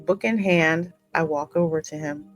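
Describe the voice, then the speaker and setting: A woman with a lowish voice narrates a fantasy game story. Her reading is measured and dramatic, with music playing behind her. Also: fairly even intonation. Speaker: gaming host voicing the player character. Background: musical game soundtrack.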